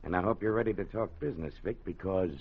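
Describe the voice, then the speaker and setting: An actor playing a hard, sly, husky gangster in an old radio crime drama. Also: male voice, deep voice, fast. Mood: menacing. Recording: dull old radio recording.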